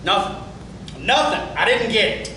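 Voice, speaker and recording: An actor reading a proud, volatile, embittered working-class man in a stage drama, agitated, halting and profane. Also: sits high, animated, sharp tone, recorded from a distance. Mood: bitter, despairing. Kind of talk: theatrical table reading. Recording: very echoey room from afar, faint noise.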